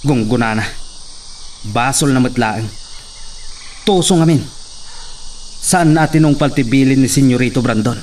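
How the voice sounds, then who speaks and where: A man's voice: mid-range voice, a little slow, long pauses, darkish tone, lightly acted. A man with an Ilocano accent playing an armed henchman who serves his master in a radio drama. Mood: weary, grim. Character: deferential armed henchman leading a night search. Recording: faint cricket and insect noise.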